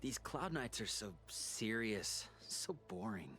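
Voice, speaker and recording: A mocking captive villain in a video game, drawling slowly. The pitch slides around widely with languid amusement. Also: male voice, animated, a bit bright. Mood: amused, bored. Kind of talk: dramatic game voice acting.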